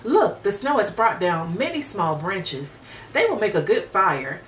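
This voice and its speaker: A woman's voice: medium pitch, very animated, measured pace, a bit bright. A woman voicing a sweet, trusting little girl in a storybook, innocent and eager. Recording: roomy, distant mic with hiss.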